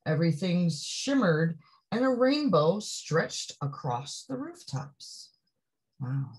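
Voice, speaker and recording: A woman reading a picture book aloud to young children, expressively, with drawn-out phrases and chatty asides that put questions to the listener. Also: fairly low pitch, very animated, darkish tone, breathy edge. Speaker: storybook reader.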